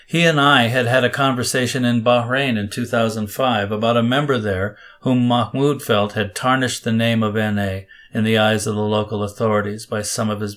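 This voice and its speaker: A man hosting a podcast, narrating an introduction in a steady, scripted read. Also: lowish voice. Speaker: podcast host.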